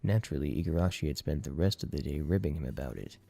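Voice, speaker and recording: A man's voice: a bass voice, lively intonation, quick pace, creaky, dark tone. A man reading a story aloud as an audiobook narrator, in scripted narration. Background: music.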